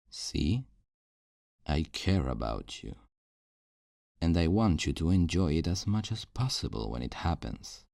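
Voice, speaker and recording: A man's voice: extremely low pitch, creaky, warm tone, dry recording, studio quality, heavily acted. A man playing a possessive, falsely tender kidnapper in an intimate ASMR roleplay, coaxing and condescending with veiled menace. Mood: smug, seductive. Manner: slow, coaxing, condescending endearments with veiled threats.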